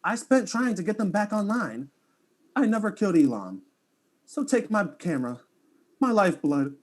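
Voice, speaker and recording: A man's voice: high-pitched, sing-song, moderate pace. A man playing a vain, theatrical streamer in a comic audio drama, with a flowery, melodramatic delivery. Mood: dramatic pleading.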